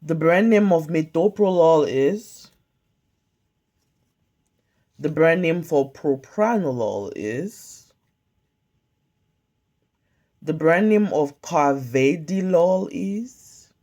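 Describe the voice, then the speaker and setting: Casting: calm woman study tutor, slow deliberate delivery, mellow tone, home phone recording. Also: low-pitched, dull audio. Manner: calm, measured, question-and-pause drilling. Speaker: pharmacy tech study tutor. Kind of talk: slow flashcard-style quiz review. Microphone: phone camera filming whiteboard.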